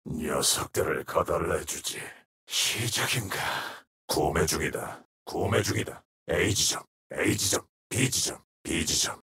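A man voicing a menacing, brooding shadow wraith assassin in a video game, with a hoarse, whispery rasp and slow, ominous, terse delivery. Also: a bass voice, fairly bright tone, full character voice. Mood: grim, clipped. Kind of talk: video game character voice lines.

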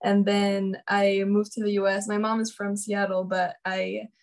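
A woman's voice: mid-range voice, completely flat delivery, unhurried, a little mellow. A woman artist from Mexico City, chatting casually on a video call and slipping between Spanish and English. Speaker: exhibiting artist.

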